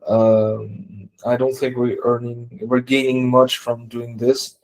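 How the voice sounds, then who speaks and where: A man who works as a software developer, talking casually and tentatively through technical points on a team call. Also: mid-range voice, level tone, slow pace, poor audio.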